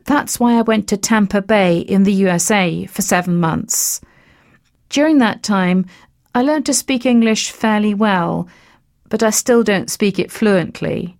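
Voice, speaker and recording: A woman's voice: medium pitch, darkish tone. A woman voicing a young French-Canadian restaurant manager. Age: young adult. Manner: plain first-person account.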